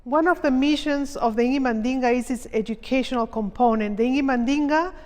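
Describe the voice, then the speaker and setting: A woman directing a theater production, explaining its aims in a measured, reflective documentary interview. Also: high voice, darkish tone. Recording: long reverb.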